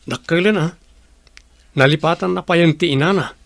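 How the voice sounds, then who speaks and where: Gravelly, plain-spoken Ilocano village man played by a man. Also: on the high side, moderate pace. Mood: friendly. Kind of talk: Ilocano radio drama acting.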